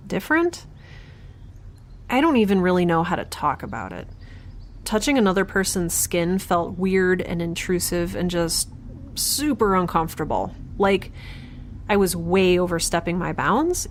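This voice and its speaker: A frazzled young woman scientist aboard a spaceship, played by an actress, speaks in a fairly low voice. She is wry and awkward, halting and rambling like someone keeping an audio log. A faint bed of ambient noise sits behind her. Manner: halting, rambling, self-correcting. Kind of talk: audio drama, conversational log-diary monologue and dialogue.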